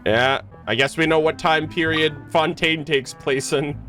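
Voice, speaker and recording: Streamer reacting to a game, mid pitched voice, slangy n jokey, faint music behind. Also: steady tone, a little slow, fairly bright tone. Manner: exclamatory, slangy, joking. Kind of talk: casual reaction commentary.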